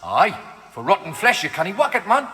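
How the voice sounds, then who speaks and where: An actor voicing a bitter, wry faceless undead mutant with a colloquial Geordie accent in a video game. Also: male voice, high voice, big pitch swings, moderate pace, pushed and bright, heavily acted. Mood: wry, scheming. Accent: Geordie English. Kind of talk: video game cutscene acting. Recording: long reverb, music behind.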